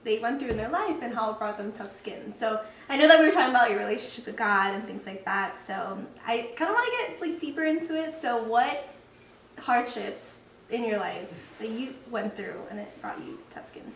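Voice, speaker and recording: A young woman in her twenties hosting a casual podcast interview, bubbly and animated, laughing often as she tells stories. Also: fairly high pitch, normal speed. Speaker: podcast host. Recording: roomy echo, mic at a distance, faint noise.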